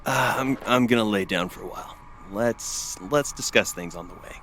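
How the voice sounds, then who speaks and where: Actor as a low-voiced, evasive fugitive man. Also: normal speed, fairly bright tone, slight background noise, lightly acted. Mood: evasive, tired. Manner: hesitant, stammering, wry. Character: evasive injured male fugitive agent, wryly friendly. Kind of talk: audio drama acting.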